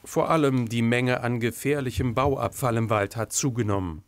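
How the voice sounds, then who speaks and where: A man's voice: medium pitch, moderate pace, dry recording. A man reading scripted narration for a television news report. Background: background music.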